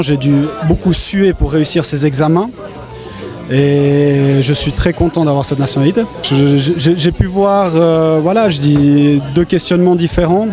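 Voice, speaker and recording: A man of Brazilian and Spanish origin, a guest at an event, talking about himself with stammering restarts. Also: fairly high pitch. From Brazil and Spain. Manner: stammering, hesitant. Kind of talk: casual personal testimony.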